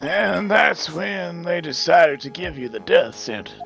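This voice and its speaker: A man voicing a sly, hard-boiled lawyer in a game dub, needling and deliberate as he questions. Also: high voice, expressive, vocal fry, fairly bright tone, soft background music, exaggerated voice. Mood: sly, probing. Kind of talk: live fan dub of a visual novel game.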